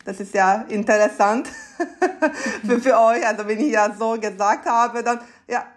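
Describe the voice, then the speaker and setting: A woman's voice: a little high, measured pace. A woman in her thirties from Turkey with a Turkish accent, answering conversationally as a podcast guest and often giggling between phrases. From Istanbul, Turkey. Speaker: podcast guest, SAP consultant.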